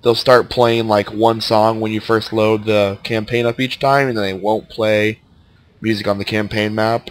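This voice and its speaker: A man with a stuffed-up, nasal voice giving relaxed, casual commentary while playing a strategy game. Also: lowish voice, no echo. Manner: laid-back, thinking aloud as he plays. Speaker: let's play commentator.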